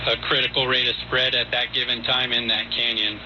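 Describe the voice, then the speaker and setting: A man giving a factual briefing as a fire chief, with measured official phrasing, in a muffled, noisy soundbite. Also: mid-range voice, little pitch movement.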